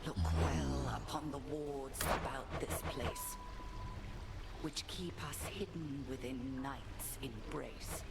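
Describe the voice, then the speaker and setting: A woman playing a stern, measured witch headmistress in a video game, speaking formally and deliberately. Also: a bass voice, big pitch swings, heavy background noise, heavily acted. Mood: solemn incantation. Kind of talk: theatrical video game dialogue with formal verse.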